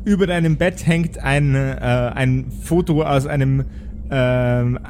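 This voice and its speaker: A man improvising as game master in a tabletop role-playing game, often pausing to search for his words. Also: medium pitch, darkish tone. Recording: clear background noise and ambience.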